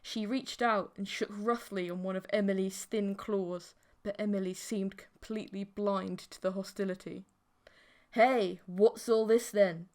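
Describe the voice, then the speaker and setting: A woman's voice: medium pitch, little pitch movement, moderate pace, hardly any pauses. A woman reading scripted first-person fiction as an audiobook narrator.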